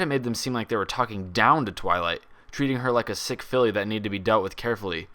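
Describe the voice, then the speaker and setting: A man reading a story aloud as a narrator, in an expressive scripted style. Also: fairly low pitch. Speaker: fan fiction narrator.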